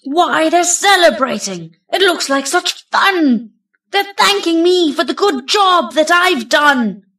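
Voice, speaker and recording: A woman voicing an excitable, earnest male skeleton who plays Santa, declaiming dramatically in a storybook poem. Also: extremely high pitch, slow pace, voice pushed up, heavily acted. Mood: delighted.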